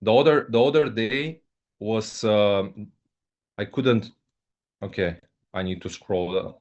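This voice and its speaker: A man's voice: fairly low pitch, takes it slowly, a little mellow. Hesitant man on a video call. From Bulgaria. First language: Russian. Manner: hesitant, frequent fillers, repeats "okay, guys". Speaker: host, company ambassador. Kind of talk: live online business presentation. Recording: dull lo-fi video call audio.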